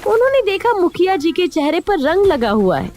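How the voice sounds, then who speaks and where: A woman's voice: very high-pitched, sing-song, normal speed, a little mellow. A woman narrating a scripted cartoon moral story as a storyteller.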